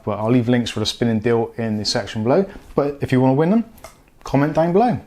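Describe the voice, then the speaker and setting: Male review host, mid-pitched voice, casual explanatory delivery for unboxing videos. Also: a little mellow. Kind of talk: casual scripted-feel product review to viewers.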